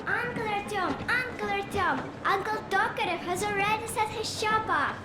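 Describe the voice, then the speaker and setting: Very high, eager little child. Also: female voice, moderate pace. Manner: childlike, excited. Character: eager, sweet little child, admiring and friendly. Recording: echoey, noisy ambience.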